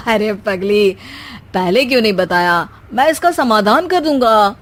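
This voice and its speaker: Woman doing a bossy young cartoon husband, teasing, joking, middle pitch. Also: expressive, measured pace, natural voice. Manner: bossy, joking, orders his wife around. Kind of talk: cartoon voice acting.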